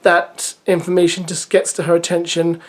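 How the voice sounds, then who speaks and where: A vlogger recaps a dating show. The delivery is chatty and opinionated, with exasperated asides. The voice sits in a middling pitch. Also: completely flat delivery, moderate pace. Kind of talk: reads an episode preview, then chats with opinions.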